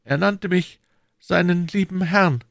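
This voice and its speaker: A man's voice: high-pitched, big pitch swings, brisk, dry recording, studio quality, pitched above the performer's own voice. A man voicing a muddled, timid shopkeeper in an audiobook, fawning and flustered in manner. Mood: smug, fawning. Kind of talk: audiobook character dialogue.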